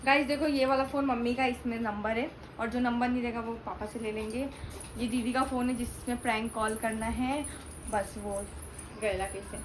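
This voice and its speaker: High-voiced young woman vlogging with clear background noise. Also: fairly even intonation, moderate pace. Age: young adult. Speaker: family vlogger. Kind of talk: casual chat to camera in Hindi vlog. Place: at home, indoors. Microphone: phone propped up without a stand. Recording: noisy home phone recording.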